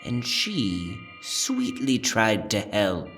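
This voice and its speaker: A horror series host giving a scripted outro with wry, mock-sympathetic, drawn-out delivery. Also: medium pitch, big pitch swings, fairly bright tone, recorded from a distance, faint music behind.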